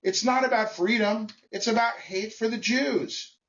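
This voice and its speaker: A man giving a blunt, emphatic opinion monologue to camera, speaking in short phrases with pauses. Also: high-pitched, a bit bright, recorded from a distance, highs cut off. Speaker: vlogger.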